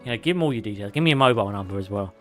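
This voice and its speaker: A man streaming a game, reading lore aloud with sarcastic asides, his voice going hoarse and a little out of breath. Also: fairly low pitch, animated, rapid-fire, fairly bright tone. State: slightly out of breath. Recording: faint music behind.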